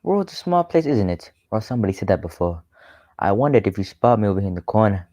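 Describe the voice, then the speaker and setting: A man plays a smug, scheming gentleman in an old-time radio mystery. His voice is low and closely recorded, all mock courtesy and sly taunting. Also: expressive, fast, no room sound, close to an everyday voice. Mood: smug, mock-friendly. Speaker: actor in a student radio drama.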